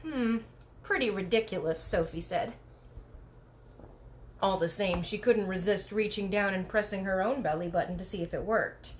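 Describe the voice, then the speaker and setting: A woman narrating a storybook in an expressive read-aloud style. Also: medium pitch, normal speed, a little hiss or hum. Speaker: storybook reader.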